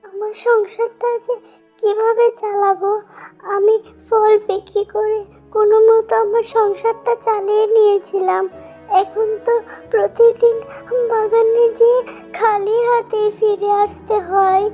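A woman's voice: very high-pitched, level tone, a little slow, warm tone. A woman voicing an anxious, hard-working animal mother who sells fruit in a fairy-tale cartoon. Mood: weeping despair. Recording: dull lo-fi audio with music behind.

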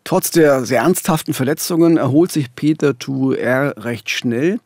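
A man's voice: mid-range voice, a little slow, a little mellow, no echo. A middle-aged man narrating a true-crime podcast as co-host at a studio microphone. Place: small radio studio. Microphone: studio microphone, headphones.

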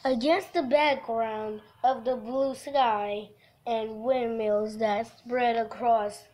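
A woman livestreaming a visual novel, reading the text aloud haltingly and adding goofy, casual asides. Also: on the high side, fairly even intonation, darkish tone, rough recording.

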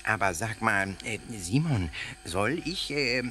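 An actor playing a cheeky, playful, excitable ghost in a children's radio play, theatrical and husky, tripping over his words. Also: on the low side, takes it slowly, full character voice. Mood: mischievous. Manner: theatrical, stammering over words, muddling them. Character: cheeky, playful, excitable old castle ghost, greedy for snacks.

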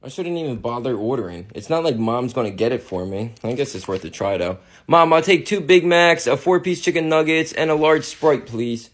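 A man's voice: fairly high pitch, fairly even intonation, normal speed, few pauses, a bit bright, lightly acted. A man playing a smug, laid-back older brother in a family comedy skit, teasing in a matter-of-fact way. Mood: deadpan.

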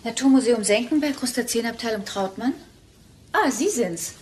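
A woman's voice: a little high, lively intonation, moderate pace, noisy background, natural voice. An actress playing a friendly, chatty working mother at a museum, in a crime radio play. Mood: cheerful. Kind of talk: radio crime drama acting.

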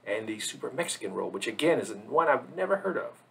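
A man with a husky, slightly gravelly voice giving a casual, rambling tour narration as a vlog host, with hesitant pauses. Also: mid-range voice, sing-song, low-quality recording. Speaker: travel vlogger, host.

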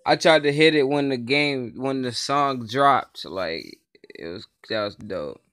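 A young man hosting a gaming video, talking casually in a slangy, excitable way. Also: mid-range voice, a little slow, clear, resonant tone, no echo. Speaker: video host, gamer. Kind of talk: casual gameplay commentary. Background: music.

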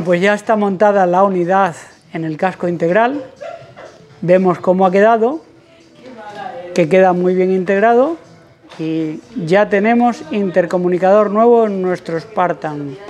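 Male tutorial presenter, notably high voice, explanatory delivery with hesitant pauses. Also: a little mellow. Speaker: presenter of a motorcycle channel. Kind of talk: tutorial voice-over commentary.

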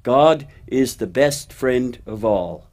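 A man voicing a steady, kindly cow who talks plainly and patiently, gently teaching, in a children's puppet show. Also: mid-range voice, takes it slowly. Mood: gently instructive. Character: steady, faithful cow, a wise kindly friend.

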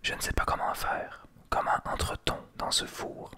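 A man softly whispering the lines of a frightened little girl in a storybook tale. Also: normal speed, natural voice. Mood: pleading. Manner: whispered throughout.

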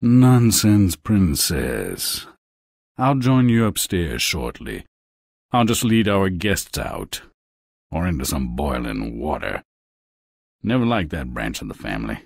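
A man's voice: a bass voice, big pitch swings, takes it slowly, vocal fry, slightly rough, dry recording, clean studio sound, heavily acted. A man voicing a suave, wheedling, theatrical frog who is secretly a king, in a fairy-tale video game. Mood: sardonic. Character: smug, courtly male frog, secretly a king.

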